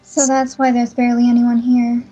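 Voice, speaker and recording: Woman voicing a mellow, high-pitched skeptical game heroine. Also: completely flat delivery, normal speed, muffled recording, faint music behind, natural voice. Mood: skeptical, puzzled. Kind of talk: amateur visual novel fandub acting.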